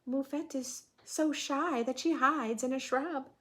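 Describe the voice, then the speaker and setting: A woman reading a children's storybook aloud in a dramatic, playful teacher's way, pausing to put questions to the listener. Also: high-pitched, fairly bright tone. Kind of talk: expressive storybook read-aloud with teaching asides.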